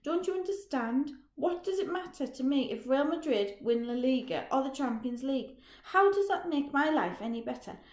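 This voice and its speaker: A woman voicing an angry, bitter young man who works in a factory, shouting and threatening. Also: fairly high pitch, lively intonation, moderate pace, lightly acted. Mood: furious. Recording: roomy echo.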